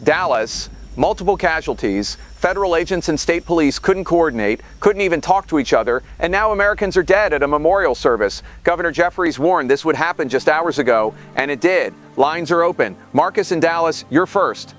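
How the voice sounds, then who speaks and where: An actor plays a male call-in radio host, shaken and agitated. His slightly high voice punches out emphatic, clipped phrases in the style of an audio drama talk show. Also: expressive, brisk, lightly acted.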